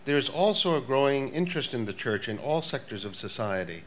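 An older man, a priest and professor, giving a prepared talk in a measured way with dry asides. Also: medium pitch, big pitch swings, fairly bright tone, a little hiss or hum. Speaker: guest speaker. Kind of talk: prepared lecture.

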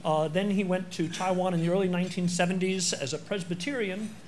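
Male host, high voice, chatty intro full of ums, faint hiss behind. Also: steady tone, darkish tone. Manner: chatty, halting with fillers. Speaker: event host. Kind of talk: speaker introduction.

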